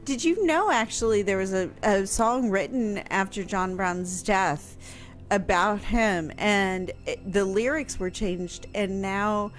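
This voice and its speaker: A woman historian chatting eagerly on a radio show, quick to agree and add facts. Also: medium pitch, faint music behind. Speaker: historian guest. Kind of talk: casual radio chat.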